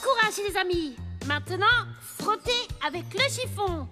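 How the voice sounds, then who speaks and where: A very high, childlike little toy boy, cheerful and eager to help. He speaks in an encouraging cartoon manner, with music playing clearly behind him. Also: lively intonation, measured pace. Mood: rallying. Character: cheerful young male wooden toy boy, eager helper. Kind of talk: French children's cartoon dubbing.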